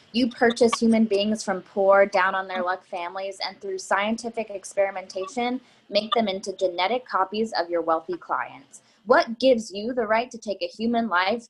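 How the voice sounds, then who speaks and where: Play reading: woman as stern, accusatory female interrogator, medium pitch. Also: lightly acted, poor audio.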